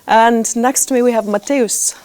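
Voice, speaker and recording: A woman's voice: fairly high pitch, steady tone, moderate pace, darkish tone. A woman coach hosting a round-table talk with students, asking questions with many small fillers. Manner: friendly, prompting questions with fillers. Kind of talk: moderating a round-table discussion.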